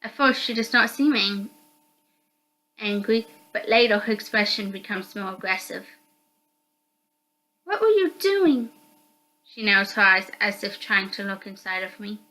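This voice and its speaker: A woman's voice: mid-range voice, little pitch movement, normal speed, pushed and bright, poor audio. A woman reading first-person story narration aloud from a visual novel.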